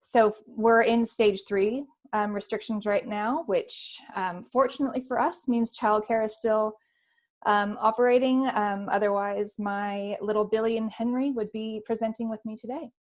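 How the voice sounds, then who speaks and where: A woman's voice: fairly high pitch, steady tone, normal speed. A woman in her thirties with a Californian American accent, introducing herself to a webinar panel over a video call in a friendly way, with many "um" fillers. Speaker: panel speaker.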